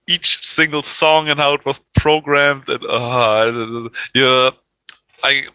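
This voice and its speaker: Bright-voiced German man in casual chat. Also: mid-range voice, level tone, slow, clear, resonant tone, no room sound. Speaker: metalcore band musician, interview guest.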